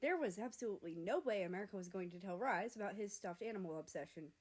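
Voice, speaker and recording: A woman reading fiction aloud as a narrator, in an expressive scripted storytelling style. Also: mid-range voice, fast. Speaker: fan fiction reader and narrator.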